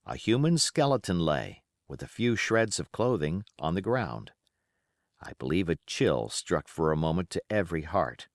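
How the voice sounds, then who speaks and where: A man's voice: low-pitched, dry recording, clean studio sound. A man reading a classic novel aloud as scripted audiobook narration.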